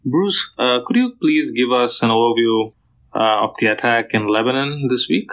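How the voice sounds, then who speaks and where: A man's voice: mid-range voice, moderate pace, fairly bright tone, low-quality recording. A man asking questions as a podcast interviewer.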